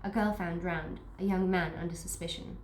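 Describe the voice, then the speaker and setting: A woman's voice: lowish voice, steady tone, normal speed, a little mellow. A woman with a stuffy nose from a cold, chatting upbeat through a book box unboxing and reading the blurbs aloud. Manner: chatty, upbeat, restarts sentences. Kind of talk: casual unboxing chat with read-aloud blurbs. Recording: echoey room with faint noise.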